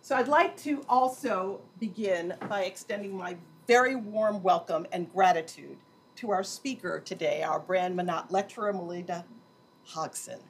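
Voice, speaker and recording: A woman's voice: lowish voice, moderate pace, slight background noise. A woman dean giving a formal, emphatic welcome to a lecture audience from a podium, with dry asides. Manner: emphatic, warm ceremonial delivery with dry asides.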